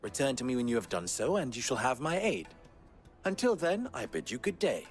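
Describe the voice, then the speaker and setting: An actor in a cinematic video game playing a young merchant boss who is sly, smug and condescending, with mocking, mannered rhetorical questions. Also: male voice, mid-range voice, big pitch swings, fairly quick, faint music behind, lightly acted. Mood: smug, businesslike.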